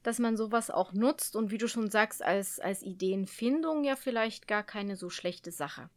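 Woman radio host, mid pitch voice, casual chatty interview questions. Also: unhurried.

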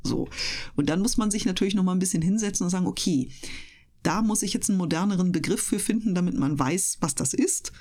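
A woman's voice: on the low side, fast talker, a little mellow. A woman chatting casually as an interview guest, explaining things at length in a talkative, storytelling way. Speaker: interview guest, voice performer and live reader.

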